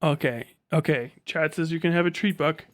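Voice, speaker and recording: A man's voice: a little high, moderate pace, no echo. A man chatting casually as a live streamer, rambling and sardonic, reading things aloud and swearing offhandedly.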